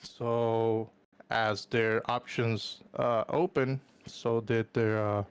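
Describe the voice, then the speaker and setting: A man's voice: mid-range voice, flat delivery, very slow, smooth. A man from Puerto Rico whose first language is Spanish, sick and congested, calling in to debate on a live stream, hesitating with many fillers and restarts. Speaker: caller. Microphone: voice call.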